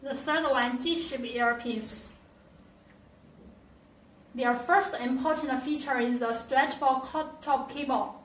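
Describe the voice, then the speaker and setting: A woman presenting a scripted product demonstration, with careful, halting, non-native phrasing. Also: sits high. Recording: roomy echo, distant mic, faint noise.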